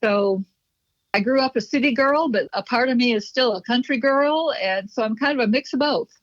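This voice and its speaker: A woman's voice: on the high side, moderate pace, no room sound. Dry, muffled middle-aged woman storyteller. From Chicago, USA. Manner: chatty, reminiscent, light laughs. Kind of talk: conversational interview storytelling. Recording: dull, slightly muffled, dry.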